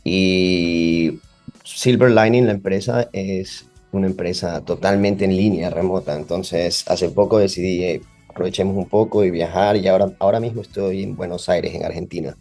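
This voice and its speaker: A man's voice: low-pitched, fairly even intonation, moderate pace, a little mellow, soft background music. A man with an Ecuadorian Spanish accent, a podcast guest who explains at length with many fillers. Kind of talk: podcast interview.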